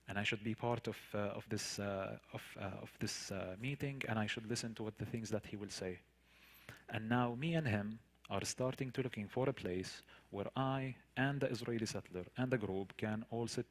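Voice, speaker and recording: Palestinian man telling his story, earnest and emphatic. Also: fairly low pitch. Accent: Palestinian Arabic. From Palestinian territories. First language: Arabic. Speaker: guest speaker, tour guide. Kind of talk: personal storytelling in a public talk.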